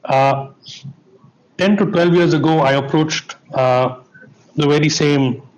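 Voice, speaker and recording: A man's voice: a little high, slow pace, darkish tone, dull audio. A man speaking on a conference panel, explaining at length and asking listeners to bear with him.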